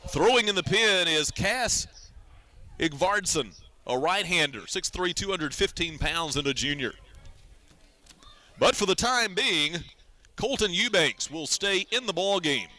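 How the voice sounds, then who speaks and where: A man calling baseball play-by-play on the radio in a live sports commentary style, with measured, deliberate phrasing. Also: high voice, expressive, sharp tone.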